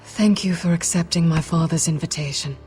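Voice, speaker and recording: A woman's voice: low-pitched, brisk, mellow, soft background music, close to an everyday voice. An actress playing an earnest young noblewoman in a video game, formal and diplomatic. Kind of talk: dramatic video game acting.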